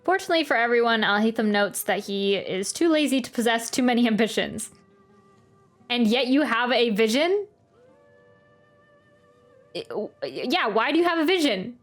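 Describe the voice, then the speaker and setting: A woman's voice: a little high, animated, moderate pace, pauses often. A woman doing a casual video game playthrough, reading game text aloud and adding wry asides. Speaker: game streamer. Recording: game music behind the voice. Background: video game music.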